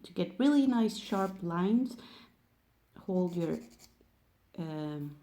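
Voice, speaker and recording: A woman's voice: lowish voice, lively intonation, extremely slow, mellow. A woman from Sweden with a Swedish accent, speaking English as a second language in a casual hands-on tutorial, hesitant and searching for words. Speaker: nail technician making tutorial videos.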